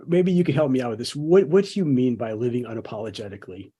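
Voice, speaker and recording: A man's voice: medium pitch, fast, mellow, husky. A man hosting a podcast, reflective, often stammering and repeating words as he thinks aloud. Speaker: podcast host. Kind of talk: conversational podcast interview.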